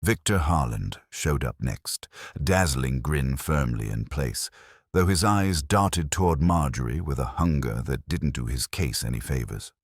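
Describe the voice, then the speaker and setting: A man's voice: extremely low pitch, expressive, unhurried, husky, dry recording, studio quality. A man reading a scripted detective story as an audiobook narrator.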